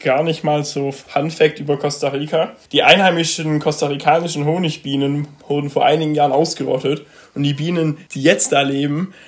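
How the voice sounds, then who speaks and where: Junger Freiwilliger, männlich, höhere Lage, leicht ironisch. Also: male voice, on the high side, steady tone, a little fast, few pauses. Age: young adult. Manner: wry, self-correcting. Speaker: young volunteer.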